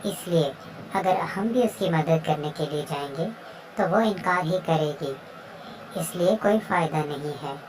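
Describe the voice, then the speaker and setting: A woman's voice: deep voice, measured pace, background noise. A woman voicing a sceptical, practical husband pigeon in a children's cartoon story. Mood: dismissive, reasoning.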